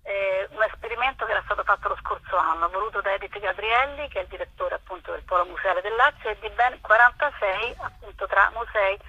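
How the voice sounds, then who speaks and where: A woman's voice: mid-range voice, fast talker, few pauses, sharp tone, husky. A woman curator answering questions as a guest in a radio interview over the phone. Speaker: guest, arts festival curator. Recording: dull, band-limited phone line.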